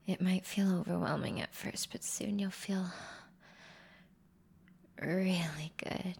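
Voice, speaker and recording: A woman voicing a breathy horned demon lover. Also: mid-range voice, exaggerated voice. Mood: tender. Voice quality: breathy, whispery voice. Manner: soft, slow, intimate murmuring. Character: affectionate, ancient horned and tailed demon woman. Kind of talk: intimate audio roleplay addressed to the listener.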